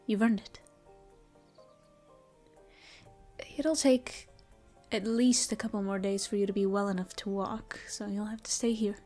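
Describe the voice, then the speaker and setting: A woman voicing a gentle, caring female elf ranger in an audio roleplay, speaking softly and often in a whisper. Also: mid-range voice, steady tone, normal speed, soft background music, close to an everyday voice. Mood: tender. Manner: soft, soothing, often whispered. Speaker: voice actress. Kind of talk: audio roleplay, comfort ASMR.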